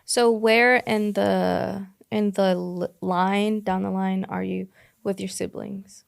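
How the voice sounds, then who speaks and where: A woman's voice: mid-range voice, little pitch movement, slow pace, creaky. A woman interviewing someone casually, in a conversational style. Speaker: podcast interviewer.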